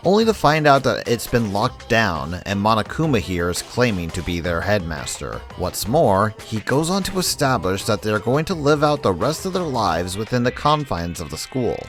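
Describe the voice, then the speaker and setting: A man's voice: fairly low pitch, fairly bright tone. A man narrating a scripted video essay in a conversational way with wry asides. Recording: background music under voice. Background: music.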